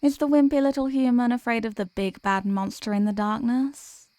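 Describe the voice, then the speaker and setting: A woman acts out a female sleep paralysis demon with a fairly high voice, recorded close and dry. The creature is a teasing one, by turns menacing and tenderly apologetic, speaking intimately as in an ASMR audio drama. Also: steady tone, moderate pace, dark tone, no echo, natural voice. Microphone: close microphone, dry.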